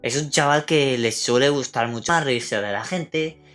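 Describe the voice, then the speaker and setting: A man, in a medium pitch. He speaks casually and plainly, as in an interview, over soft music. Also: measured pace. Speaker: amateur voice actor.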